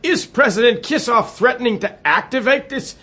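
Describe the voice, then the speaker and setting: An actor playing a flustered but reasonable, polite American president in a radio drama. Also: male voice, very high voice, moderate pace, pushed and bright, exaggerated voice. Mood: exasperated. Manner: polite, exasperated.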